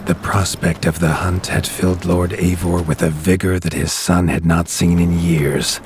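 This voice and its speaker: A man narrating a storybook-style game in a grave, measured storyteller's manner. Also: deep voice, little pitch movement, music in the background.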